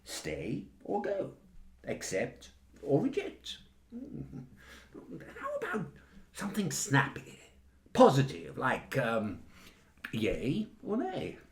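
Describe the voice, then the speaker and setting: A scheming jingoistic politician, radio satire, super animated pitch, calculating and pompous, roomy echo. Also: male voice, takes it slowly, pauses often, full character voice. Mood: musing, scheming. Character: scheming, jingoistic senior government strategist, a sharp-tongued bigot. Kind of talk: satirical radio play acting. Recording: roomy, echoing interior.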